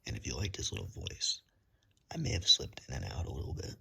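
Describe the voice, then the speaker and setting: A man's voice: extremely low pitch, big pitch swings, fast, vocal fry, sharp tone, husky. A man whispering casually to viewers as an ASMR creator, self-deprecating, recorded on a phone's built-in microphone. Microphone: phone, no external microphone.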